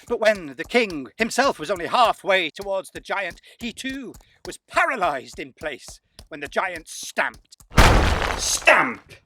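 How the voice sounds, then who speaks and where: A man narrating a folktale as a storyteller, theatrical and emphatic, with suspenseful pauses. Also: sits high, very animated, pushed and bright.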